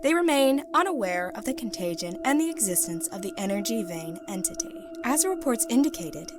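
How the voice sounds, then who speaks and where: A woman playing a composed, ruthless female secret agent, giving a formal, measured science-fiction mission report. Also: mid-range voice, close to an everyday voice. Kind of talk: scripted dramatic reading, mission debrief. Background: background music.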